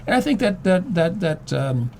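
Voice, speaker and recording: A man in a casual discussion, explaining his thoughts haltingly and repeating words as he goes. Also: high voice, very animated, vocal fry, darkish tone, a little hiss or hum. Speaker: guest, former English teacher.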